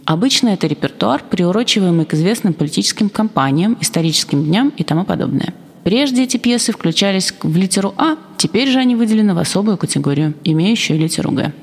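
A woman formally reading an official document in a measured manner, with echoing reverb around her voice. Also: mid-range voice, animated, husky. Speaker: guest reader of documents. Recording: long echoing hall reverb.

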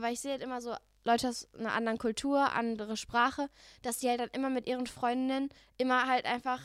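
A woman's voice: on the high side, smooth, no echo, clean studio sound. An eighteen-year-old woman from Germany, co-hosting a podcast in a casual chat full of youthful slang. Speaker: podcast co-host.

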